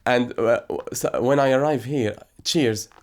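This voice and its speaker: Young man interviewed, mid pitch, halting English. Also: darkish tone. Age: young adult.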